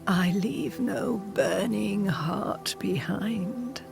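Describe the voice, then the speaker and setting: A woman's voice: medium pitch, gravelly, over music, full character voice. An actress playing a dying old woman, a cryptic seer in a game cutscene, solemn, weary and whispery. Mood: wry, resigned. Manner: slow, cryptic, whispery pronouncements. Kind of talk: dramatic game cutscene acting.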